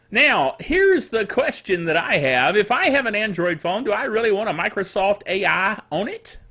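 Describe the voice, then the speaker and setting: An older man hosting a casual solo tech show, rambling playfully like a self-styled curmudgeon, stretching words for emphasis and tossing in spoken sound effects. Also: sits high. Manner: animated, emphatic delivery with drawn-out words. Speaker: podcast host. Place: small home studio.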